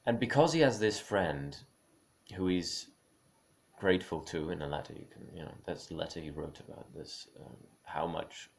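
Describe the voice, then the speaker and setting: A man with a very low voice that keeps sliding into a gravelly fry. He muses aloud without a script, stumbling and restarting often and dropping small laughs between his points. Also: normal speed. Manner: musing, opinionated. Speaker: speaker on creative careers. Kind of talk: unscripted spoken monologue.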